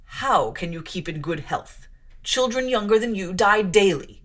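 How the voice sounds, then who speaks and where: A grim, solemn clergyman who lectures a child in slow, heavy, deliberate questions, as a woman reads him aloud. His voice swings widely in pitch as he presses each point. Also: animated. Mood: stern. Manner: slow, loud, grave questioning. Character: stern solemn man, tall clergyman, bass voice.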